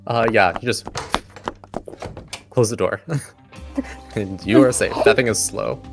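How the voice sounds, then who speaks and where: A man narrating a tabletop game as game master, improvising casually and haltingly. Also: on the low side, gravelly, music in the background.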